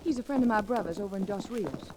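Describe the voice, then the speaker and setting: A woman's voice: mid-range voice, lively intonation, fast talker, lightly acted. A woman playing a spirited young frontier ranch woman in an old-time radio western. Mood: bright. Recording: noisy vintage radio recording.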